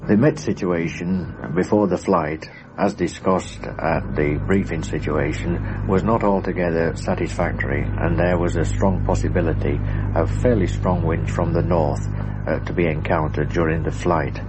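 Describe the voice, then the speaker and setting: A middle-aged man recounting wartime memories as a former air force navigator, measured and matter-of-fact. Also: steady tone, noisy background. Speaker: former RAF navigator, interviewee. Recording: loud rumbling engine drone behind.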